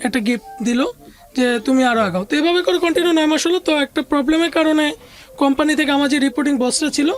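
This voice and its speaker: A woman's voice: high voice, fast, soft background music. A woman with a Bangladeshi accent recounting her life story conversationally as an interview guest, mixing in English words. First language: Bengali.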